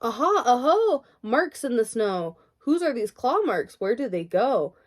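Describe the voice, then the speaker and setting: A woman's voice: on the high side, very animated, measured pace, pitched above the performer's own voice. A woman voicing a curious, brave little monster girl who asks eager questions.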